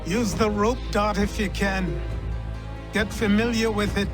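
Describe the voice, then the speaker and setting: Game role: elderly male mentor, higher register, stern, dry, formally spoken, music faintly behind. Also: steady tone, measured pace.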